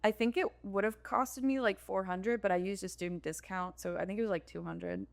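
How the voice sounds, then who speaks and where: A young adult woman with a deep, low-register voice, a music producer chatting casually as a podcast guest, filling her talk with lots of fillers. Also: fairly even intonation, fairly quick.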